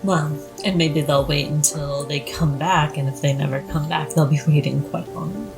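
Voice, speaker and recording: A woman's voice: low-pitched, steady tone, normal speed, gravelly. A woman playing a tabletop game, casually discussing plans with the other players. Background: background music.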